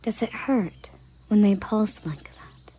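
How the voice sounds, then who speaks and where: A woman playing a breathy, slow-speaking elven guard captain. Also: mid-range voice. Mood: curious, measured. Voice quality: soft, breathy, often half-whispered. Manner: formal, deliberate, imperious phrasing. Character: commanding, curious elven guard captain woman, formal and proud. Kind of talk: scripted roleplay audio drama.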